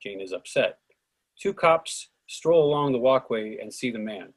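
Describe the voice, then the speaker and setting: A man reading stage directions in an even, measured way for a script reading. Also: mid-range voice.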